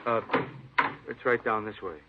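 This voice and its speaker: An actor playing a fussy, officious store boss in an old radio drama, emphatic and prone to repeating himself. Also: male voice, on the low side, fairly even intonation, measured pace, very noisy. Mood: helpful, officious. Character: fussy, disapproving male store boss, talkative with police. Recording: tinny, narrow-band old radio recording.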